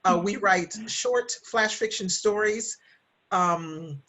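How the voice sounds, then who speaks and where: A woman's voice: medium pitch, slow, a bit bright, rough recording. A woman speaking conversationally as a guest writer on a podcast, introducing herself and her work.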